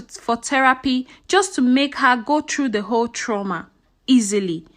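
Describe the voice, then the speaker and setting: A woman narrates a folktale in a high, very lively voice. Her delivery is dramatic and earnest, shaped as scripted storytelling for listeners.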